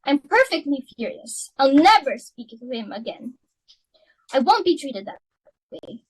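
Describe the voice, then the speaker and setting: A woman's voice: high-pitched, very animated, a little slow, low-quality recording. A woman playing a domineering, socially ambitious mother and hostess in a dramatic reading of a stage play, with an imperious, melodramatic, scolding manner. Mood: furious, indignant.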